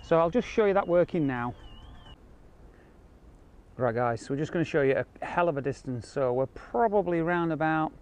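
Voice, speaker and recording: A man's voice: fairly high pitch, expressive, normal speed, long pauses, highs cut off. A man giving a casual, explanatory product walkthrough as a car audio installer. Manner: informal, explanatory. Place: outdoor car park and inside car. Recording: clear ambient noise behind handheld camera.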